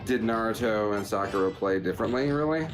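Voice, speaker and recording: A man's voice: on the low side, a little fast, over music. A man co-hosting a gaming show in casual, playful, joking chat. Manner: jokey, playful.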